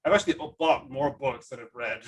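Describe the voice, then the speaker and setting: A man's voice: mid-range voice, a little fast. A man hosting a podcast in a casual, enthusiastic and rambling conversational way. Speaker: podcast host. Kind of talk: casual chat.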